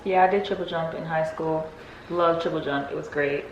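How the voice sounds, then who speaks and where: A woman's voice: deep voice, fairly even intonation, moderate pace, dull audio. A young woman, a guest athlete, chatting casually and giggling easily as she answers questions. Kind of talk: casual Q&A chat. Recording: echoey with faint noise.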